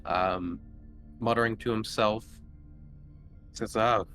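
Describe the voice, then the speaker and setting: A man running a tabletop horror game, narrating casually and haltingly as he feels out each description. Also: lowish voice, steady tone, fairly bright tone, faint music behind. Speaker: game master.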